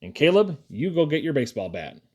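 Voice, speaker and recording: A man's voice: sits high, very animated, normal speed, dark tone, pitched above the performer's own voice. A man voicing a befuddled, grumbling father in a family story, bewildered and exasperated. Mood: alarmed.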